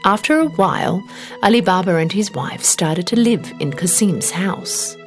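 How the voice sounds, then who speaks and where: A woman reading a scripted children's fairy tale as an expressive storybook narrator. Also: lowish voice, unhurried, faint music behind.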